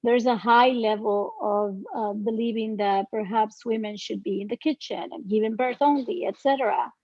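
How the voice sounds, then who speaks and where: A woman with a Dominican Spanish accent speaks with a fairly high voice, earnest and reflective, pausing often to find her words. The sound is dull and lo-fi, with a completely dry, close capture. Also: steady tone, no room sound. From Dominican Republic. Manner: earnest, reflective, with frequent pauses and fillers. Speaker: guest. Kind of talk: podcast interview.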